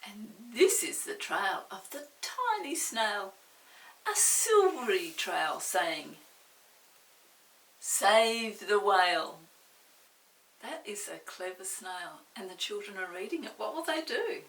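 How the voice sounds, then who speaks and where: An older woman reading a story aloud to young children, expressive, pausing to explain and ask the listener questions. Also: mid-range voice. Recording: roomy, distant mic with faint hiss.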